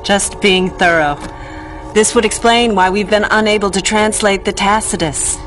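A woman's voice: mid-range voice, fairly even intonation, moderate pace, a bit bright, lightly acted, poor audio. Actress as earnest female doctor, serious, insistent, mid-range voice, video game drama, music underneath. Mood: serious, focused. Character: earnest, forceful female scientist in her thirties. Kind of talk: video game cutscene acting.

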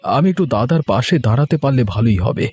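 A man's voice: medium pitch, expressive, fast talker, a little mellow, slightly rough, faint music behind. A man voicing an earnest, dutiful young brother in a cartoon moral story, with a familiar way of talking.

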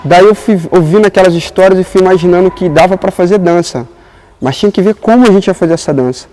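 Brazilian man, late 20s, dance teacher, relaxed fill-laden storytelling on lapel mic outdoors, slightly high voice. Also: fast talker, poor audio. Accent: Brazilian Portuguese. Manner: relaxed storytelling with frequent fillers. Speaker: dance teacher and company director. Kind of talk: documentary interview. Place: outdoors in front of a painted mural.

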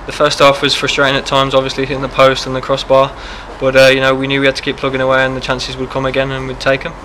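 Young male footballer, post-match chat, quick and even, close handheld mic, faint hiss. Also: medium pitch, rough recording. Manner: quick, steady answers with many fillers. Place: press backdrop, indoors. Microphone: handheld mic held close.

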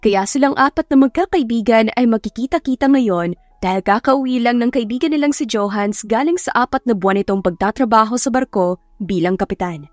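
High-voiced woman narrating an audiobook. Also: a little fast, no echo, clean studio sound. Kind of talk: scripted storytelling narration. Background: background music.

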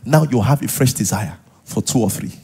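Animated, husky Nigerian male preacher. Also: mid-range voice, brisk. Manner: animated, conversational preaching with interjections and repeated rhetorical questions. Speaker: pastor. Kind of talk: sermon to a live congregation. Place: large church auditorium, on stage. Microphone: headset.

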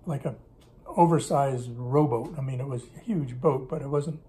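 A man's voice: medium pitch, normal speed, warm tone. Older man rambling through stories at home. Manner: rambling, self-correcting storyteller. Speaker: interviewee recalling business in Russia. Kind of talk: casual storytelling interview. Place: home kitchen table, indoors. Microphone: camera on table in front.

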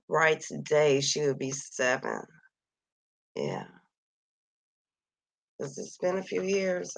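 Slow, gravelly-voiced woman losing her voice. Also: on the low side, no room sound. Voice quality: gravelly, slightly husky voice. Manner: slow, soft-spoken, sighing and musing aloud. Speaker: vlogger reading a missing persons list. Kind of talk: casual screen-share commentary. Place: at home near Kansas City.

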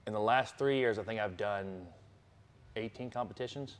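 Male athlete guest, young adult, fairly low voice, eager plainspoken answers. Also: moderate pace. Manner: eager, plainspoken, listing things off. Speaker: strongman competitor, guest. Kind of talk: casual interview answers.

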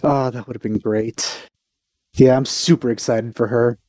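A man's voice: mid-range voice, steady tone, a little slow, darkish tone, no room sound, clean studio sound. A young man chatting casually as a reaction video host, informal and enthusiastic, speaking into a headset. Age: young adult. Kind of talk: casual chat reacting to a game trailer. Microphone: headset microphone.

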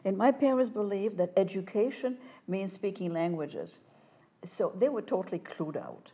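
Older woman with a mellow voice. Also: medium pitch, normal speed. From France. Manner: animated storytelling, gestures with hands. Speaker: interview guest. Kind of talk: reflective life-story interview. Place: studio set, seated in armchair. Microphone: lapel mic.

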